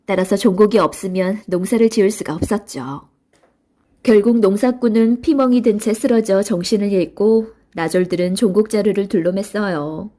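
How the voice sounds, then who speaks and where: A woman's voice: medium pitch, moderate pace, warm tone. A woman narrating a children's storybook in a scripted read-aloud style.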